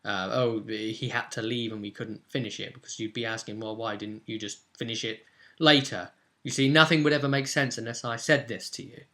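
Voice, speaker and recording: A man's voice: fairly low pitch, steady tone, brisk, sharp tone. A man hosting a vlog as a game master, recapping a tabletop roleplay session in a rambling, blunt, conversational way. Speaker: game master and video host.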